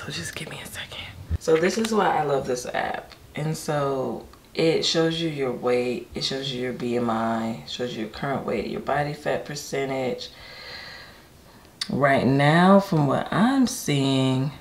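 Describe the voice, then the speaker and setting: Casual female vlogger, American, low gravelly morning voice, candid and informal, some household noise behind. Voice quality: deep early-morning voice. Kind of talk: casual chatty vlog to camera. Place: home kitchen and upstairs rooms, indoors.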